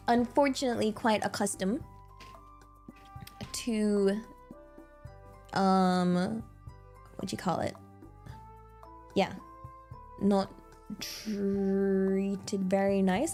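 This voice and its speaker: Slow, reflective British woman streamer. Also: medium pitch, animated, darkish tone, faint music behind. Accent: British English. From England. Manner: reflective, hesitant, many fillers and restarts. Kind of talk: casual chat with live chat readers.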